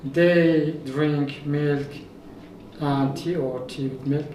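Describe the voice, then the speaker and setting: A man from Iran whose first language is Azerbaijani Turkish, a professor answering interview questions in a measured way with frequent fillers. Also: a little high, steady tone, darkish tone. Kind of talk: conversational interview. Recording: echoey room, mic at a distance, faint noise.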